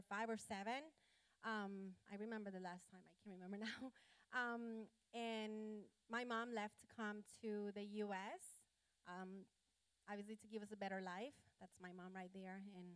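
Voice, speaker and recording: A woman from Colombia, a church guest, giving her personal testimony hesitantly with many pauses. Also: mid-range voice, fairly bright tone, clear, resonant tone, dry recording. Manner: hesitant, many ums and restarts.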